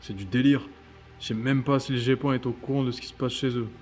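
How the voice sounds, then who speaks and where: A man acts out a teasing, skeptical agency colleague in an audio drama. His middle-pitched voice is casual and breezy, given to joking. Music sits lightly underneath. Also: steady tone, fast, a little mellow, natural voice. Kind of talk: French audio fiction dialogue.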